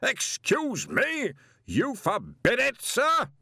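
A man voicing a blustering, hot-tempered older male wizard who heads a college, loud and imperious. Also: very high voice, huge pitch swings, slow pace, gravelly, slightly rough, no echo, studio quality, voice pushed up, full character voice. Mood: furious. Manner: roaring, imperious.

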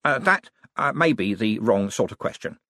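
A man's voice: fairly low pitch, lively intonation, normal speed, pushed and bright, no room sound, clean studio sound. A man voicing a friendly, flustered young wizard scholar in an audiobook, modest but bookish, hesitating and stammering with many ums. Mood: earnest, technical.

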